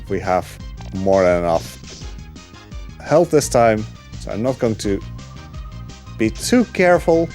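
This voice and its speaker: Low-pitched male speedrunner, easygoing, filler-heavy live commentary, faint game music behind. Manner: relaxed, rambling, many filler ums and uhs. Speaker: speedrunner commentating his run.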